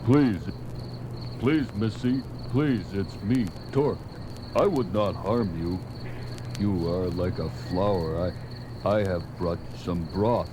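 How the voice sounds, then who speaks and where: A timid, devoted servant in a seventies audio drama. He speaks slowly and haltingly, pleading like a clumsy soul afraid to offend, with clear noise and insect ambience behind him. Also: low voice. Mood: gentle, pleading. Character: timid, devoted, clumsy male servant, gentle then terrified. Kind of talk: 1970s audio drama acting.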